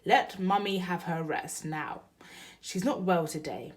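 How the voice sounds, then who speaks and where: A woman's voice: fairly low pitch, measured pace, close to an everyday voice. A woman voicing a bluff, cheerful father who takes charge in a children's storybook. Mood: commanding.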